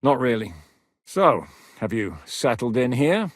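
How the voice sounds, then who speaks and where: An actor playing a battle-hardened medieval rebel commander in a video game, gruff and dry-humoured, with a blunt, commanding, sardonic manner. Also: male voice, medium pitch, big pitch swings, unhurried, dry recording. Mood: calm, dry. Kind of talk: dramatic video game character acting.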